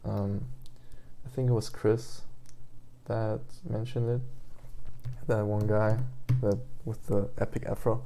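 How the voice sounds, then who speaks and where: A young German-accented man, just awake, rambles through a casual rant full of ums and restarts. His voice sits a little low, with a heavy gravelly fry and a very dark, mellow tone. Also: level tone, slow pace, a little hiss or hum. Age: 20s. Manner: meandering, hesitant, many fillers and restarts. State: just woke up. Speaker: language learner vlogger.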